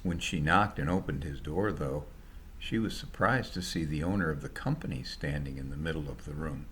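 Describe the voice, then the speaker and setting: A man's voice: very deep voice, normal speed, fairly bright tone, slight background noise. A man narrating a scripted short story as its author, in a colloquial, dramatized storytelling style.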